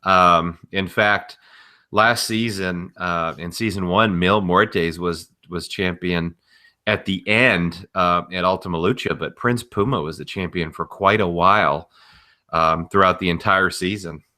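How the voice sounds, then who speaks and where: A man's voice: sits low, measured pace, bright, forceful tone. A man co-hosting a podcast, explaining things in a casual, knowledgeable way. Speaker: podcast co-host.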